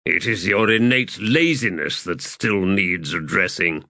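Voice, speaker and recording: Guy reading as a gruff strict officer, rough voice, slow and dry, no room sound. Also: sits low, bright, forceful tone, dry recording, full character voice. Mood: stern. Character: stern strict older male army officer.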